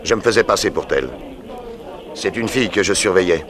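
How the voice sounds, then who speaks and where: A man's voice: deep voice, brisk, a crowd in the background, close to an everyday voice. A man playing a cool, self-assured private detective in a 1960s French radio drama, with a dry, authoritative manner. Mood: wry, explanatory.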